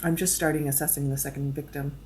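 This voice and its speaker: A clinical, matter-of-fact medical examiner in an audio drama, played by a woman. Her voice is notably deep for a woman, and she delivers findings in a dry, measured way. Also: level tone, a little mellow, a little hiss or hum, close to an everyday voice.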